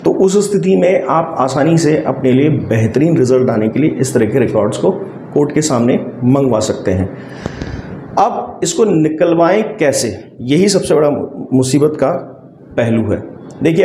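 An Indian man in his forties with a mellow, medium-pitched voice gives a didactic legal explainer. He mixes in English terms as he goes. The room sounds echoey, with a faint noise behind him. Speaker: legal advisor and video host. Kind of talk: explanatory legal talk to camera. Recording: roomy echo, faint background noise.

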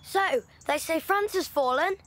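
A woman's voice: very high-pitched, animated, measured pace, a bit bright, heavily acted. A woman playing an earnest, know-it-all wartime schoolboy in an audio drama. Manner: earnest, explaining.

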